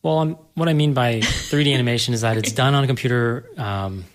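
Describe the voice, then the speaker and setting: A man's voice: mid-range voice, a little fast. A man explaining and discussing film in a casual panel conversation. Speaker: panel guest explaining animation.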